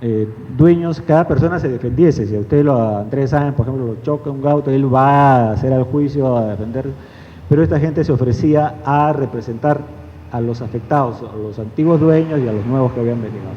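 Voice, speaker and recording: A man's voice: mid-range voice, fairly quick, a little hiss or hum. Middle-aged man, Lima Peruvian accent, wry lecture, reverberant hall, dull lo-fi sound. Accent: Lima Peruvian Spanish. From Peru. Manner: wry, digressive, many fillers. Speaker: guest speaker. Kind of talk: public lecture.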